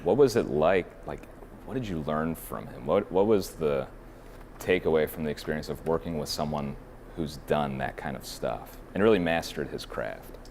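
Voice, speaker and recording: Deep-voiced male interviewer. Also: moderate pace, darkish tone, slight background noise. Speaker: interviewer and reporter. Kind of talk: scripted news narration and interview questions.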